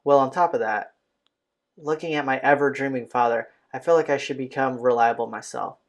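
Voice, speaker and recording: A man's voice: medium pitch, a little fast. A man reading visual novel narration aloud as a let's play host, occasionally stumbling over a phrase. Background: game music.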